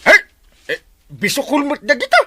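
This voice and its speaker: An actor playing a blustery, excitable male village captain with an Ilocano Filipino accent in a comedy radio drama. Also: very high voice, normal speed, heavily acted. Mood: excited.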